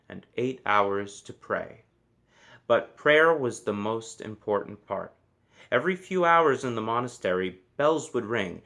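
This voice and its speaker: A man narrating a children's story from a book, with expressive, measured phrasing and deliberate emphasis. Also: on the low side. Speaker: storybook reader.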